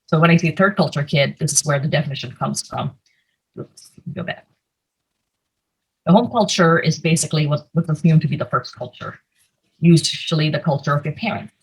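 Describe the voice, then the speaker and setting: A woman's voice: low voice, slightly rough, highs cut off. A young woman from India giving a conversational slide presentation over a video call, with plenty of fillers. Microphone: computer mic, video call.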